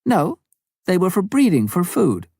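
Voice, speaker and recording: Guy reading as a plain-spoken missionary woman in an audiobook, pitch raised, dry sound. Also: wildly swooping pitch, measured pace, vocal fry, dark tone, breathy edge, no room sound, full character voice. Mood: matter-of-fact. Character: missionary woman living in the jungle, matter-of-fact.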